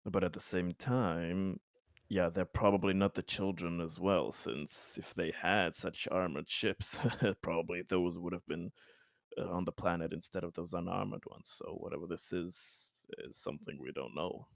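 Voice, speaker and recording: A very deep, creaky-voiced male pilot acted by a man, wary and analytical. He reasons slowly and measuredly, as a character in a sci-fi tabletop game. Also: fairly even intonation, no room sound, clean studio sound. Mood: wary, reasoning.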